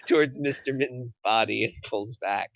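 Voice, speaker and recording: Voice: medium pitch, moderate pace, dry recording. Dry, slightly dull stage-direction narrator. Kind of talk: scripted narration at a film table read.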